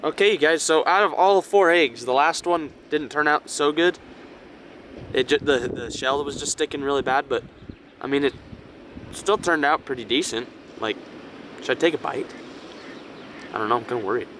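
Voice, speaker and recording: A young man with a cold-raspy voice chatting excitedly to camera while car camping by a lake, casual and full of "dude" and "like". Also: a little high, moderate pace, long pauses, noisy background. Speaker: vlogger. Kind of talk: casual vlog chat to camera.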